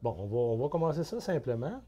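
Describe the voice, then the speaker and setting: A man with a Quebec French accent hosting an interview, chatty and colloquial in a relaxed way. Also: medium pitch, fast talker. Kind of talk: casual interview.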